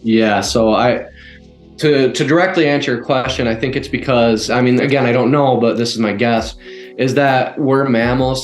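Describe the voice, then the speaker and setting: A man's voice: medium pitch, echoey room. A man speaking as an interview guest in a casual, rambling way, full of "like" fillers. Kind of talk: casual conversation.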